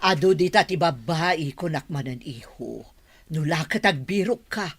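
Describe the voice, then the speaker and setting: A woman's voice: low-pitched, lively intonation, moderate pace, husky, heavily acted. A woman playing a stern, haughty older mother with a commanding manner in a radio drama. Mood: scheming, consoling. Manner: scolding, commanding.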